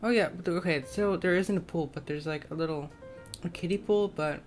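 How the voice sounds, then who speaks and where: A young woman with a low, slightly scratchy voice talks through a game build in a casual voiceover. She rambles in a tentative, self-conscious way. Soft guitar music plays faintly behind her. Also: fairly quick. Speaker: gaming video creator. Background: soft plucked guitar music.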